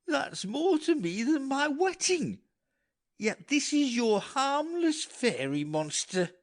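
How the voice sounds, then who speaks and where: A man's voice: very high voice, big pitch swings, slow, exaggerated voice. A man voicing a silly, whining jester with exaggerated singsong clowning in a dramatic Shakespearean audiobook. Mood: whining, indignant. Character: silly, whining, high-voiced male jester.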